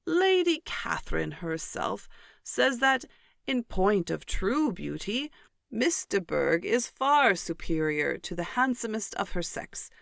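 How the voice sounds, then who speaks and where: A pompous, self-important clergyman from a classic novel, acted out by a woman, fawning in every phrase. He speaks slowly and deliberately, with a creaky edge, close and dry. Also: mid-range voice, a bit bright, dry recording, full character voice. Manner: pompous, slow and deliberate, formal wording. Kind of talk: audiobook character reading.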